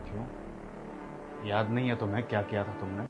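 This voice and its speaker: A man's voice: fairly low pitch, flat delivery, fairly quick, dull audio, close to an everyday voice. A man playing an angry, domineering husband in a melodramatic family drama, speaking scornfully and insistently to his mother-in-law. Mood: accusing. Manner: scornful, confrontational, repeats demands insistently. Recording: dramatic music underneath.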